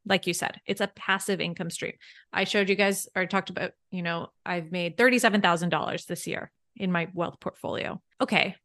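A woman's voice: mid-range voice, fairly bright tone, dry recording, studio quality. A woman financial coach, a podcast guest, who explains things eagerly and step by step with worked examples. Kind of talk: casual podcast interview.

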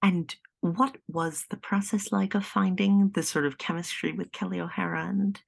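Gravelly, husky-voiced podcast host. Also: medium pitch, steady tone, normal speed. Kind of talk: interview questions.

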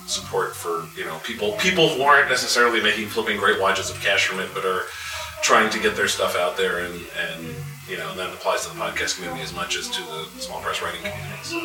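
A man speaking casually as a convention panelist, in a halting, informal way. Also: low-pitched, fairly even intonation. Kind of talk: casual panel talk with jokes. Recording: echoey room, distant mic.

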